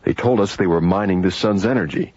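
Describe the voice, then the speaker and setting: A man playing a plain-spoken, steady space captain in a radio drama, laconic and husky. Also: low-pitched, a little fast, very noisy, natural voice. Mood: shaken, recounting. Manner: laconic, down-to-earth. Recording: dull radio recording, faint music.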